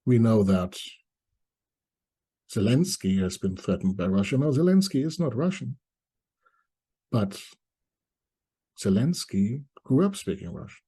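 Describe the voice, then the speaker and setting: Middle aged guy, mellow n kinda raspy, talking thru his thoughts carefully in short bits. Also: lowish voice, sing-song, unhurried, pauses often. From East Germany. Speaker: commentator presenting a video essay. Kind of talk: reflective spoken commentary to viewers.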